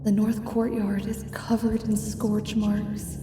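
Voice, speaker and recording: A woman narrating a fantasy ASMR roleplay in a soft, hushed second-person voice. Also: medium pitch, little pitch movement, takes it slowly, reverberant, long reverb tail, recorded from a distance, background noise.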